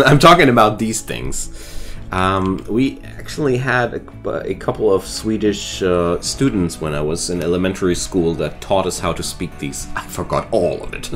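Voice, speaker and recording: A man's voice: low-pitched, very animated, unhurried, fairly bright tone, soft background music. A man who is not a native English speaker, chatting casually and rambling with viewers while streaming a game. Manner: rambling and chatty, restarts thoughts.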